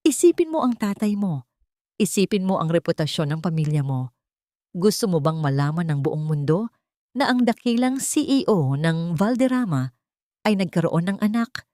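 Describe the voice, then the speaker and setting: A woman voicing a ruthless, scornful rich woman villain in an audiobook, with a threatening, deliberate, melodramatic delivery. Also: on the low side, a little mellow, no echo, studio quality.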